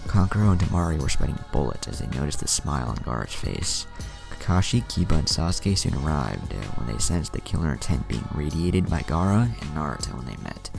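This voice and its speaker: A very deep, gravelly male voice narrates a fan fiction story with swooping, animated intonation. Music plays behind the reading. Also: fairly quick, hardly any pauses. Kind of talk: scripted story narration with character dialogue.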